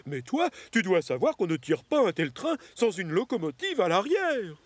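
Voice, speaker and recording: A man voicing a stern, grumbling older character in a French train cartoon, husky and scolding. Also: high-pitched, huge pitch swings, normal speed, dry recording, exaggerated voice.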